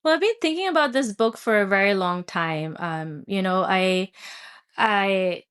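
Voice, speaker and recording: A woman in her forties, from Pakistan, talks as a guest in a studio interview. Her voice is smooth and mid-pitched, and she feels her way through answers with plenty of fillers and hesitations. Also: expressive, measured pace, fairly bright tone. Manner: thoughtful, many fillers and hesitations. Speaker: guest author. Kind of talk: casual interview chat. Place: recording studio.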